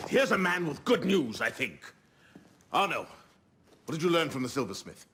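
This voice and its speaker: High, forceful, theatrical male orator. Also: sing-song, normal speed, slightly rough, heavily acted. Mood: brisk. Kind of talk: dramatic game voice acting.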